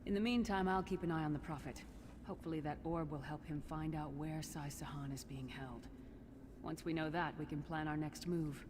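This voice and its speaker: A man's voice: fairly high pitch, fairly even intonation, a little fast, long reverb tail, noisy background, lightly acted. A man voicing a blunt, wary game ally. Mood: reassuring. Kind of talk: video game character dialogue.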